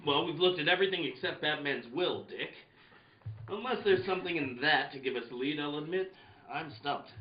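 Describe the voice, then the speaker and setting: A man playing an earnest, puzzled investigator in a hammy old-time radio play, with a deliberate, questioning delivery. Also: fairly high pitch, lively intonation, natural voice. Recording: roomy, muffled, noisy live recording.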